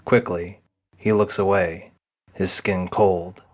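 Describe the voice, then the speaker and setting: A man's voice: low-pitched, flat delivery, slow. A man reading scripted dramatic narration as the narrator of an audio drama. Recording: music behind the voice.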